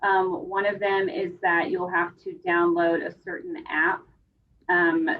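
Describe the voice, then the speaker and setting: A woman on the school staff explaining testing procedures in an interview, with frequent pauses and "um"s. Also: on the low side, little pitch movement, narrow, tinny audio, a little hiss or hum. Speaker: school test coordinator.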